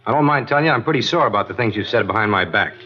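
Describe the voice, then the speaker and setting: An actor playing a belligerent, defiant murder suspect who hates cops, in a curt, threatening manner in a 1950s radio crime drama. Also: male voice, fairly low pitch, animated, very fast, breathy edge. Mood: angry, demanding. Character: belligerent, cop-hating male murder suspect. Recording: dull old radio recording.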